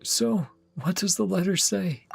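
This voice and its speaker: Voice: mid-range voice, measured pace, gravelly. A streamer reacting to a game in a casual, chatty, rambling way, sometimes reading dialogue aloud. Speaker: gaming streamer reacting to a story quest. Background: game music.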